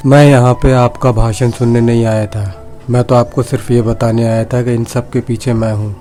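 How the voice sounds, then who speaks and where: A man voices an arrogant young prince out for revenge in a storybook tale. His voice is dark and mellow, scornful and taunting, with music underneath. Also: mid-range voice, flat delivery, moderate pace, lightly acted. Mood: scornful, gloating. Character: arrogant, vengeful young prince, greedy for the throne. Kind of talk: children's storybook dialogue.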